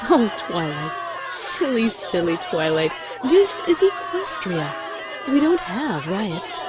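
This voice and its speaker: A woman's voice: mid-range voice, very animated, slow. A woman voicing a regal, self-assured pony princess in a cartoon audio drama, airy and condescending in an indulgent way. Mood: amused, condescending. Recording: noisy track with busy crowd ambience.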